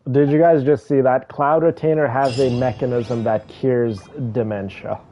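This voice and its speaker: A man's voice: mid-range voice, steady tone, moderate pace, mellow, slight background noise. A man livestreaming a game with casual, chatty and effusive commentary, talking directly to his viewers. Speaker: game live streamer. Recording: dull, band-limited stream audio. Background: music.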